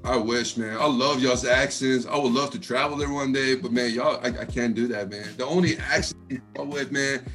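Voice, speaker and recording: A man from Texas with a deep voice and an American accent with an East Coast touch, chatting casually in a slangy, easygoing, jokey way. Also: steady tone, normal speed, hardly any pauses, a bit bright, faint music behind. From Texas, United States. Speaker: hip hop artist, interview guest. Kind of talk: casual chat.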